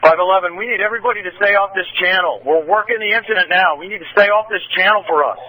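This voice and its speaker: A man's voice: high voice, fast talker, bright, forceful tone. A man working as a police officer, giving urgent, clipped commands over a two-way radio. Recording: distant-sounding police scanner radio.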